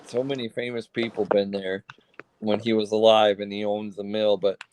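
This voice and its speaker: A man from Michigan hosting a casual group video call, telling a story in a halting, rambling way. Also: lowish voice, little pitch movement, darkish tone, low-quality recording. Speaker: host.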